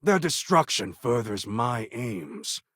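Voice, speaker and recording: A man playing a proud, brooding warlord and rebel leader in a video game, with a husky, gravelly voice and grave, formal, deliberate delivery. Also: mid-range voice, big pitch swings, a bit bright, dry recording, clean studio sound, heavily acted. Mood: grave, measured. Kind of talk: video game character acting.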